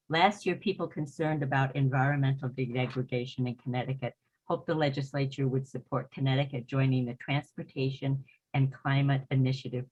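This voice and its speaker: A woman moderating a public panel, reading submitted questions aloud in a measured, formal way. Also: extremely low pitch, a little mellow, breathy edge. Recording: dull, muffled meeting audio.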